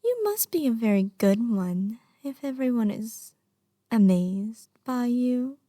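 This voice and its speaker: Woman acting a high-voiced, coy, lovestruck yandere girl. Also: expressive, slow pace, mellow, no room sound, clean studio sound. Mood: coy, pouty. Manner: coy, pouty, breathy half-whispers with hesitant stammers. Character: lovestruck obsessive young yandere girl under arrest, playfully unrepentant. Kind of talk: ASMR roleplay monologue.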